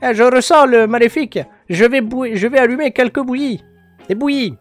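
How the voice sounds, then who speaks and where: A man's voice: very high voice, moderate pace, soft background music, pitched above the performer's own voice. A man voicing a strange male folk healer who speaks in a mystical way.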